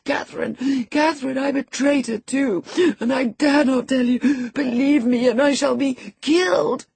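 A woman narrator acts out a frail, cowardly teenage boy from a classic novel. The voice is high and very breathy, halting and anguished, forever pleading and pitying itself, in a close, dry recording. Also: steady tone, darkish tone, no echo, heavily acted. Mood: sobbing anguish. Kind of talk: audiobook character acting.

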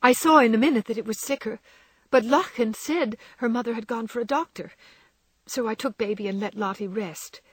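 Brief: a woman reading a shy, frail young girl, breathy and hushed, close and dry. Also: on the high side, normal speed, dry recording, pitched above the performer's own voice. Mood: sad. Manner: soft, often whispering. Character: gentle frail young girl, timid and loving.

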